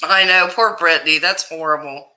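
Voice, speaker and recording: A woman hosting a casual livestream, greeting viewers by name in a loud voice that carries, chatting and laughing easily. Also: low-pitched, fairly even intonation, normal speed. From Ohio, USA. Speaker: livestream host.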